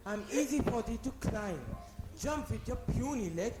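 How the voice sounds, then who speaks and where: A man's voice: extremely high pitch, animated, moderate pace. A male actor playing a kindly talking tree in a school stage play. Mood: coaxing. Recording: echoey stage under loud music.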